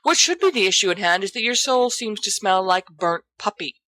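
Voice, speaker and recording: A woman's voice: mid-range voice, animated, brisk, pushed and bright, no echo. A woman voicing a reserved, bookish teenage boy with dry, understated sarcasm in an audiobook. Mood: wry. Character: reserved, dryly sardonic, bookish teenage schoolboy. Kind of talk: audiobook character dialogue.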